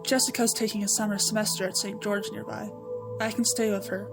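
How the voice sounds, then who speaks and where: A woman's voice: medium pitch, flat delivery, brisk, vocal fry, close to an everyday voice. A woman playing an earnest, brooding young woman, stubborn and withdrawn, in a dramatic comic dub. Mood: guarded. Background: music.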